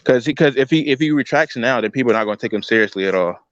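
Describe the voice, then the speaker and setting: A man's voice: medium pitch, lively intonation, racing. A man chatting as a panelist on a casual talk show, insistently repeating his points, on lo-fi audio. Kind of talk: casual conversational debate.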